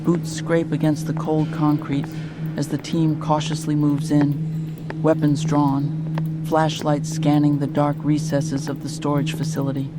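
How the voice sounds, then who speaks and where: A man's voice: fairly high pitch, monotone, unhurried, close to an everyday voice. A man narrating a scripted sci-fi horror audio drama in a serious manner. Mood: ominous narration. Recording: loud music bed behind.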